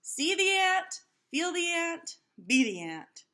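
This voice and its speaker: A woman's voice: very high-pitched, very animated, takes it slowly, pushed and bright, pitched above the performer's own voice, exaggerated voice. A woman voicing an eager, bossy, dramatic schoolgirl in a storybook, in an emphatic, exclamatory way. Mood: thrilled.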